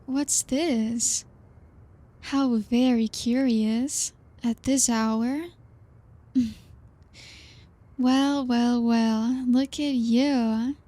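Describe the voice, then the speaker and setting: Woman doing a seductive vampire lady, ASMR roleplay, very slow, flat soft delivery, teasing whispers, close mic. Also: on the high side, dry recording. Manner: slow, teasing and coaxing, soft-spoken with soft laughs; drops into whispers. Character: lonely teasing female vampire, seductive and possessive.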